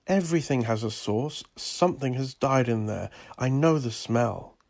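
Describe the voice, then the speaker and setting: A man voicing a fierce, determined landlady in an audio story, loud and insistent. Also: mid-range voice, moderate pace, vocal fry, pitched above the performer's own voice, lightly acted. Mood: furious, determined.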